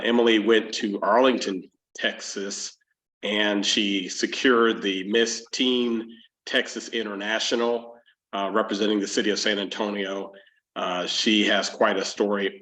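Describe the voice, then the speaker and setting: Low, flat-voiced male host. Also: rough recording. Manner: halting, frequent "uh" fillers. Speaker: host, chamber board member. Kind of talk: live-stream announcements.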